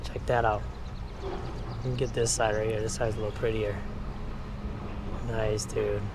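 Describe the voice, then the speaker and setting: A man's voice: fairly low pitch, little pitch movement, a little slow. A man chatting casually to camera as he fishes by a river, slangy and full of exclamations, recorded on an action camera. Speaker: fishing vlogger. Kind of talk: casual excited chat to camera while fishing. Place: outdoors beside a shallow river. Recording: outdoor action camera with background noise.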